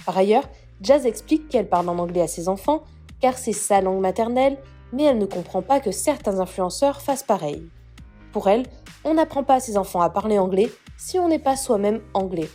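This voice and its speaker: Casting: woman narrator, mellow tone, steady gossip-news read, music bed. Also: medium pitch. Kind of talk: scripted voice-over narration.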